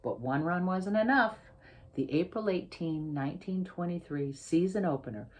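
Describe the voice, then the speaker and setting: A woman reading a children's picture book aloud as its author, with theatrical, emphatic delivery and drawn-out, suspenseful phrasing. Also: fairly low pitch, lively intonation. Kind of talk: dramatic read-aloud storytelling for children.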